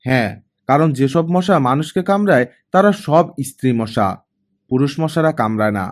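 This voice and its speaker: A man voicing an innocent, soft-hearted teenage girl, a younger sister who talks in a light, naive, questioning way in an audiobook. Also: medium pitch, lively intonation, fairly quick, lightly acted.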